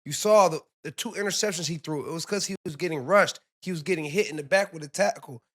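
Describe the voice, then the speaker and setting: Raspy, hoarse laid-back former player. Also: male voice, a little high, fast, fairly bright tone. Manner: laid-back, laughs easily. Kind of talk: casual sports chat.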